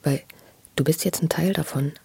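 A person telling personal memories in a spontaneous, confiding way, halting and often repeating words. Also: medium pitch, vocal fry, very noisy. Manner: halting, repetitive, earnest, confiding. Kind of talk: intimate spontaneous storytelling in a documentary radio play.

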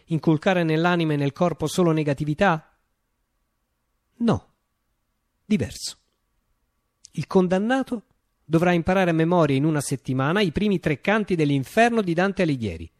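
A man reciting a theatrical monologue for radio, declaiming with dramatic pauses and emphatic phrasing. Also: fairly high pitch, dry recording, clean studio sound.

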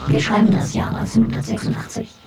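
A man making dramatic scripted announcements in a whispery, creaky voice. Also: very high voice, level tone, brisk, far from the mic, background noise. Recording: loud music over voice.

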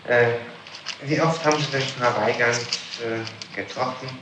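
A man formally questioning someone as an interrogator, probing and deliberate, on an old 1960s tape. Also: mid-range voice, steady tone, roomy sound, far from the mic, noisy background.

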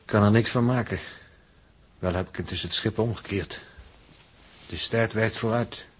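A man's voice: on the low side, a little hiss or hum, close to an everyday voice. An actor playing a cautious, pedantic spaceship crewman with a Netherlands Dutch accent, speaking in formal, pondering 1960s radio drama style. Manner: formal, deliberate wording, pondering.

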